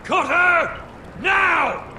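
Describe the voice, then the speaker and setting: A man's voice: very high-pitched, expressive, extremely slow, bright, forceful tone, shouting, exaggerated voice. An actor playing a foul-mouthed, bad-tempered man barking orders in a video game. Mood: irate. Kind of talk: dramatic video game voice acting. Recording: faint background ambience noise.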